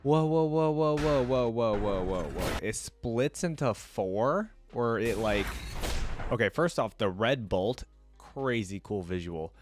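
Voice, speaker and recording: A young man hosting a reaction video, thinking aloud casually and breaking into excited exclamations. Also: mid-range voice, slow. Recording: voice over playing video music.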